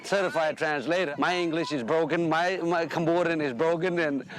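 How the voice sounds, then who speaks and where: A commercial fisherman, a man whose first language is Khmer, speaking in a halting, plainspoken way with many repeated words. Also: fairly high pitch. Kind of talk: documentary interview.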